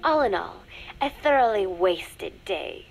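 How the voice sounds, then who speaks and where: A woman voicing a young undercover spy in a video game, dictating a private diary in a measured, confiding, dryly scornful way. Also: mid-range voice, expressive, vocal fry, soft background music, low-quality recording. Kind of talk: scripted dramatic diary narration for a game.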